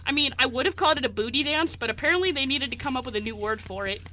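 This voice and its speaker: A woman playing a cheeky, defiant eighteen-year-old queer young man in an amateur radio play, arguing in an animated way. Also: high voice, rapid-fire, a little hiss or hum. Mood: playful. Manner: animated, argumentative.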